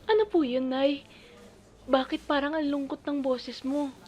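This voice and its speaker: A woman's voice: high-pitched, fairly even intonation, unhurried, a little mellow. A woman playing an affectionate, anxious Filipino mother in audio drama dialogue. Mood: anxious, alarmed.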